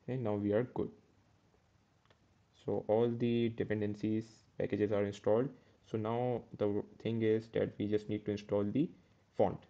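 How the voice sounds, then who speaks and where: A man's voice: fairly low pitch, level tone, a little slow, lo-fi sound. A man teaching a step-by-step coding tutorial over a screen recording, correcting himself as he goes. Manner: step-by-step, self-correcting with "uh" and "okay". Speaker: programming tutor.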